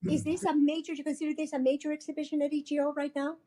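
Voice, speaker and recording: A woman's voice: high-pitched, little pitch movement, rapid-fire, mellow. A woman hosting an interview, asking friendly questions and giving brief affirmations. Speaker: interviewer host. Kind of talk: conversational interview.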